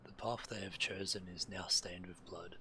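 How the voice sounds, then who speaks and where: A man streaming a video game, reading quest text aloud in a theatrical, deliberate way. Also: low-pitched, fairly even intonation, sharp tone, slight background noise. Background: faint game music.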